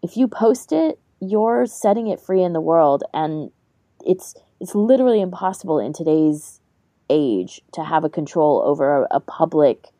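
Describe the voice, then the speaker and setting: A woman's voice: lowish voice, mellow, no room sound, clean studio sound. A woman co-hosting a podcast in a casual discussion, thinking aloud in an informal way. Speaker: podcast co-host.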